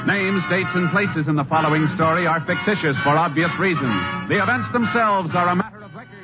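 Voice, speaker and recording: A man's voice: high voice, fairly quick. A male announcer formally introducing a 1950s radio drama. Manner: formal, declaiming. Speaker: show announcer. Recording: muffled old radio with loud music.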